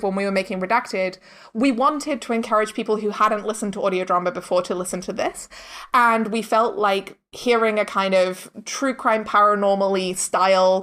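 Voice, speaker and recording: A woman's voice: medium pitch, a bit bright. A woman talking casually as an interview guest, informal and chatty, peppering her speech with "like" and "kind of". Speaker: interview guest, audio drama director and producer.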